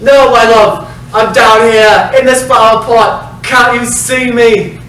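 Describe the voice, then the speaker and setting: A man's voice: extremely high pitch, steady tone, slow, fairly bright tone, exaggerated voice. A man playing a naive, lovestruck stage lover in a hammy, earnest comic reading. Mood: pleading. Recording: echoey room from far off, faint noise.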